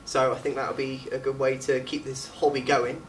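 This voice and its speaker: A man's voice: mid-range voice, little pitch movement, moderate pace. A man, a student actor chatting casually in an interview. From England. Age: late teens. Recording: distant mic with faint background noise.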